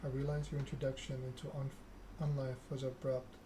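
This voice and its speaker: A man voicing a cold, noble female vampire ruler in a video game, speaking formally and with measured command. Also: medium pitch, little pitch movement, close to an everyday voice. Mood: stern, formal. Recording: clear background noise.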